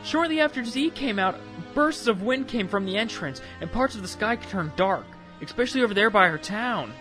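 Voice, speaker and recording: A man voicing a concerned, scolding young woman in falsetto for an amateur radio play, in a makeshift female impression. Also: animated, normal speed, bright, forceful tone, soft background music.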